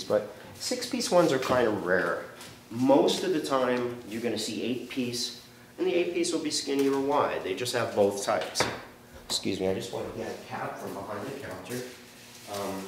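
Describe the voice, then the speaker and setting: A man, stuffy from allergies, chatting casually about caps in his hat shop while trying them on for the camera. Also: medium pitch. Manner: chatty, animated, trying on hats while talking. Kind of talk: casual product talk to camera. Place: large hat shop with wooden shelves, indoors. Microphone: camera on the counter, moves in and out of frame. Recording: roomy shop echo, faint background hum.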